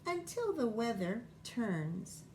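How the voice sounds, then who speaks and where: A woman's voice: a little high, very animated, slow pace, a little mellow, slight background noise, voice pushed up, low-quality recording. A woman voicing an eager, bossy little female bird schoolteacher in a storybook, exclaiming and insisting. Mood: cheerful. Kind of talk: storybook read-aloud character voice.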